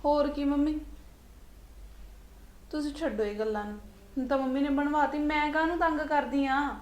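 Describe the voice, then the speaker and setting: A woman's voice: high-pitched, steady tone, moderate pace, lightly acted. A woman with a Punjabi accent playing a proud, bossy elder daughter-in-law in a family drama. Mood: chatty, pleased. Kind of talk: colloquial domestic drama acting. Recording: roomy, echoing sound.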